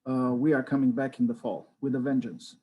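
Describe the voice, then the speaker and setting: A man from Romania, a Romanian speaker, introduces himself as a professor on a video call from home. He speaks in a measured way with frequent uh fillers. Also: mid-range voice, level tone, slightly rough, highs cut off. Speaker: associate professor.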